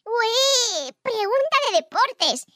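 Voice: very high voice, sing-song, extremely slow, pushed and bright, no echo, clean studio sound, exaggerated voice. A performer voicing a small, eager, childlike underwater creature who explains things with exclamations on a children's podcast. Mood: cheerful, eager. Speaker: children's show co-host. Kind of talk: scripted children's podcast chat.

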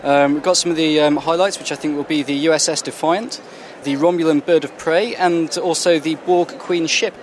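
A man representing a collectibles company, friendly and measured, with some fillers. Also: on the high side, slight background noise. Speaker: sales representative.

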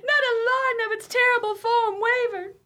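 Woman acting a very high-voiced, frightened grieving sister. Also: steady tone, normal speed, bright, forceful tone. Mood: haunted insistence. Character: frightened grieving sister, weepy and haunted, dreading the dark. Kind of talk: radio play acting.

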